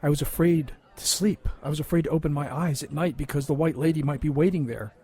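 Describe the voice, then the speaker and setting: A man's voice: a little high, fast, a little mellow, slightly rough. A man playing a big, traumatized and resentful younger brother in an audio drama, bitter and wounded in manner. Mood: bitter, accusing.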